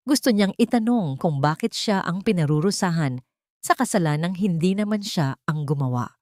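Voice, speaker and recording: A woman reading a scripted dramatic love story as narrator, in a solemn, melodramatic manner with deliberate phrasing. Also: lowish voice, a little mellow, dry recording, clean studio sound.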